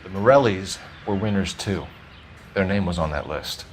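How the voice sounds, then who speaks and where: An actor playing an earnest young American police detective in a game, with a clipped, businesslike manner. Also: male voice, low-pitched, normal speed, a little hiss or hum, close to an everyday voice. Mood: firm, urgent. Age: young adult.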